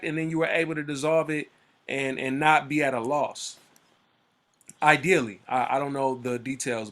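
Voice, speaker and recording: A man's voice: mid-range voice, a bit bright. A man leading a book club over a video call, reading timeline entries aloud and commenting on them in a loose, conversational way with frequent fillers and restarts. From Oakland area, US. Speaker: book club discussion leader.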